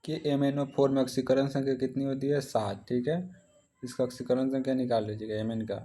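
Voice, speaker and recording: A man's voice: mid-range voice, little pitch movement, fairly quick, mellow. An Indian man teaching exam practice, reading out questions and following each with a short answer.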